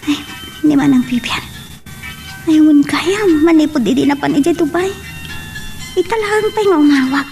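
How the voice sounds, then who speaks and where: A woman's voice: sits high, moderate pace, creaky, pauses often, a little mellow, soft background music. A woman acting a sweet, dutiful young newlywed wife in an Ilocano audio drama, polite to her elders, with a Filipino Ilocano accent. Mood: mildly chiding.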